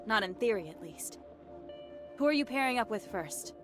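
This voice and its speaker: A woman's voice: mid-range voice, expressive, normal speed, over music, natural voice. An actress playing a cool, pragmatic, watchful female squad member in an anime game, composed and matter-of-fact. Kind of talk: scripted anime game voice acting.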